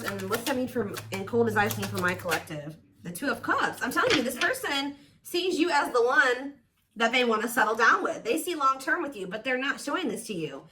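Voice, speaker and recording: Female tarot host, higher-pitched, conversational and assured, speaking straight to viewers over faint background noise. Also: bright, forceful tone. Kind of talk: casual intuitive tarot card reading, speaking to viewers.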